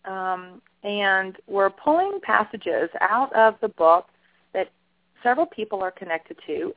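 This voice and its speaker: A woman's voice: mid-range voice, no room sound. A woman co-hosting a call-in show, chatting enthusiastically about an online group. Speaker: co-host making announcements. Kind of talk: casual chat. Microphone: telephone. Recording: tinny phone line.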